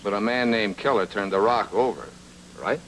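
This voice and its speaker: An actor playing a tough, sardonic private investigator in a noir radio drama, talking in terse slangy patter. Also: male voice, fairly low pitch, normal speed, fairly bright tone, slight background noise, lightly acted, low-quality recording. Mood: wry, probing.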